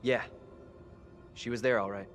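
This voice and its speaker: An actor playing an uneasy young student in a horror game, talking in a casual, blunt, unsure way. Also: male voice, mid-range voice, fairly even intonation, unhurried, lightly acted. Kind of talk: dramatic game cutscene acting. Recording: suspenseful music behind.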